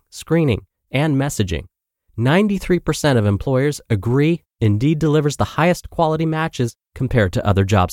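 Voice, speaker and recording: An American man hosting a podcast, reading and explaining in a clear, instructive, emphatic way. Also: mid-range voice, darkish tone, no echo, studio quality. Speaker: podcast host and nutrition teacher. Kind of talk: scripted narration and spoken commentary.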